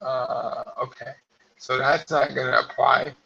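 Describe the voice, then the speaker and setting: A hoarse man chatting casually on a live stream from home, rambling with many fillers. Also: medium pitch, fairly even intonation, a little slow, sharp tone. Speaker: vlogger hosting a live stream. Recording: slightly dull lo-fi live stream audio.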